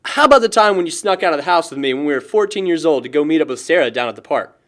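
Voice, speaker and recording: Goofy young man played by an actor, high, lively. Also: rapid-fire. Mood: teasing. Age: young adult. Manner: playful, joking, needling. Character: goofy, teasing young man, carefree party-throwing best friend. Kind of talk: naturalistic amateur film dialogue.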